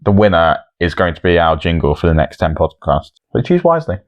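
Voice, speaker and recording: Man, low voice, podcast co-host, scorekeeper, casual banter. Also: very animated, a little fast, highs cut off.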